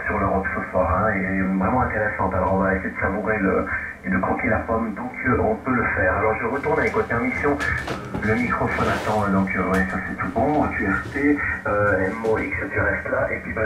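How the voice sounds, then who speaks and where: A man's voice: on the low side, echoey room, distant mic, poor audio. A man chatting casually and enthusiastically as he leads an amateur radio exchange, using callsigns, heard over shortwave. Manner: enthusiastic, effusive.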